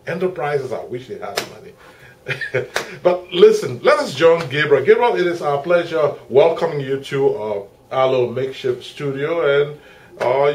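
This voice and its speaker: A man's voice: a little high, darkish tone, slight background noise. A middle-aged man hosting an interview from a small makeshift studio, with expansive, chatty patter. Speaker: interviewer and show host. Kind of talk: community TV interview.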